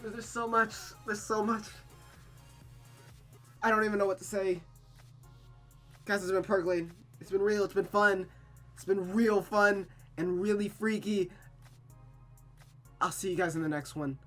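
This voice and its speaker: A woman's voice: medium pitch, fairly even intonation, unhurried, pauses often. A woman streaming a visual novel, moving between story narration and casual commentary. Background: music.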